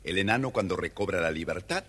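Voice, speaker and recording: A man's voice: low voice, animated, fast talker, fairly bright tone, husky. A man with an Argentine accent, narrating a children's story dramatically as the storyteller.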